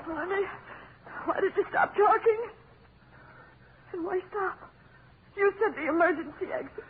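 Through a narrow, hissy old radio recording comes an anxious American wife with a high voice. She is timid and clinging, plaintive and full of questions, in the style of 1940s horror radio. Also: a little slow. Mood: frightened, pleading.

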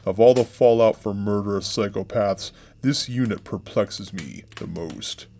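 A man with a low voice reads a true-crime script as a podcast host. His delivery is measured and somber, and he keeps a steady narrating pace. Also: faint music behind. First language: English.

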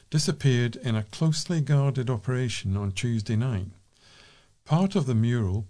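Mellow-voiced man reading local news. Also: mid-range voice. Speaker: volunteer newsreader.

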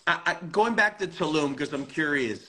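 A man's voice: on the high side, animated, normal speed, pushed and bright. A man hosting an interview in an informal, conversational way, probing as he talks. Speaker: interview host.

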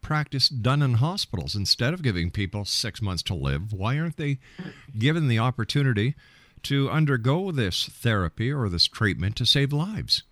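A man's voice: mid-range voice, sing-song, moderate pace, fairly bright tone, no echo. A man hosting a radio talk show and putting questions to a guest. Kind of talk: radio interview.

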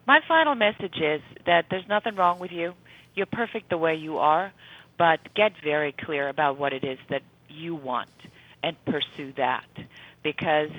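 A woman's voice: low-pitched, no room sound, phone-quality sound. A woman speaking earnestly and emphatically as a guest in a conversational radio interview.

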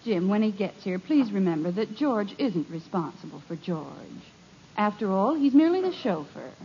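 An actress playing a patient, kindly suburban wife in a 1950s radio sitcom, who speaks in a gentle, coaxing way. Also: female voice, medium pitch, big pitch swings, moderate pace. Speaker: wife and mother. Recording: dull lo-fi old radio recording, faint hiss.

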